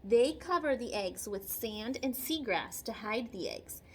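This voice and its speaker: A woman's voice: fairly high pitch, lively intonation, measured pace, slight background noise. A woman teacher reading a picture book aloud to children, expressively, with simple chatty explanations, repetition and questions. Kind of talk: read-aloud lesson with chatty explanatory asides.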